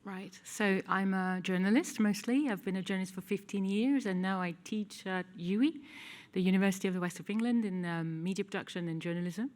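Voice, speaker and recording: A woman in her thirties from France, with a French accent, speaking on a panel in a measured, thoughtful way. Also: mid-range voice, a little mellow. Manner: measured, thoughtful, lots of "you know". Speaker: journalist and lecturer. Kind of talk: panel discussion. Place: small event hall with audience. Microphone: table mic.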